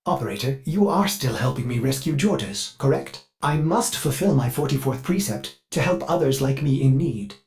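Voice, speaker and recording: A video game ship AI, male, fussy and eager to serve. His pitch swings widely, the voice is synthetic and glitchy, and his courtesy can break into bloodthirsty anger. Music plays along with the voice. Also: expressive, normal speed, few pauses, darkish tone. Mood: polite, eager. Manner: polite, eager and deferential, breaking into violent outbursts. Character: fussy, devoted ship computer AI, male, with flashes of bloodthirsty rage. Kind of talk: scripted video game character lines.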